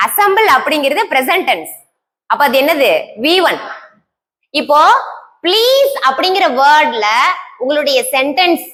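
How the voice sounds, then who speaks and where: A woman teaching a classroom grammar lesson, explaining step by step, quizzing the class and answering herself, and reading English example sentences out slowly word by word. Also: high-pitched, bright, forceful tone, poor audio. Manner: scolds and teases students lightly between explanations.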